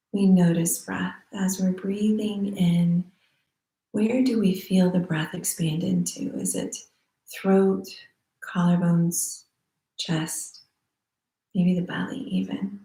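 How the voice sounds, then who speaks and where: A woman teaching guided meditation in a soothing, unhurried manner with long pauses. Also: fairly low pitch, steady tone, vocal fry, dark tone. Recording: roomy echo.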